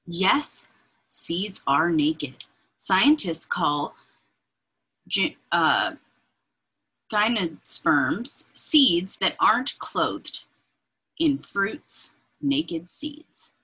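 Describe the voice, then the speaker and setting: A woman reading a children's picture book aloud in an expressive, deliberate, breathy way, adding informal asides as she points things out. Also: fairly low pitch, poor audio. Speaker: read-aloud reader. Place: indoors, small room with bookshelves.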